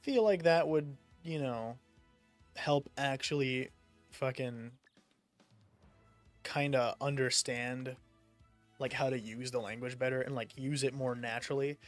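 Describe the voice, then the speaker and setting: A man's voice: mid-range voice, measured pace, pauses often, soft background music. A young man from the United States chatting casually while gaming, rambling with lots of fillers and casual swearing. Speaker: streamer.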